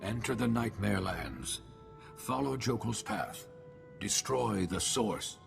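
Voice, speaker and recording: A man voicing a stern, terse alien leader in a video game, speaking formally in clipped, grave sentences with a gravelly voice. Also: lowish voice, takes it slowly, heavily acted. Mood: solemn, commanding. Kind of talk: video game cutscene acting. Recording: loud music under the voice.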